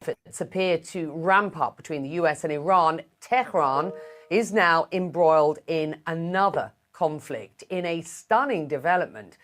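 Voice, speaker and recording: Low-voiced woman news anchor. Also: expressive, a little slow. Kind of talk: broadcast news presenting.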